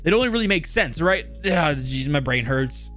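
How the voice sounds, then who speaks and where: A man's voice: on the high side, animated, normal speed, over music. A man giving chatty, casual game lore commentary, speculating and addressing the viewers.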